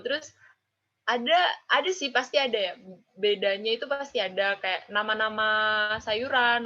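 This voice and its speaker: A woman's voice: a little high, fairly bright tone. A young woman in her twenties with a Javanese accent, chatting casually as an interviewee and tagging phrases with filler words. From Java, Indonesia. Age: 20s. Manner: chatty, drawn-out, ends phrases with "gitu". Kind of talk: casual chat. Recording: dull lo-fi recording.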